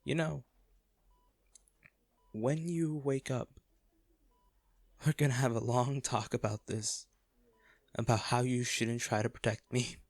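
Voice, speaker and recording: Guy doing a heartbroken rich businessman at a bedside, audio roleplay, gravelly n halting, emotional, super dry sound. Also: medium pitch, darkish tone, no room sound. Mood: wistful, hurt. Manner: halting, emotional, with sighs and repeated words. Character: anguished wealthy businessman pleading at comatose lover's bedside. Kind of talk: dramatic audio roleplay monologue, intimate.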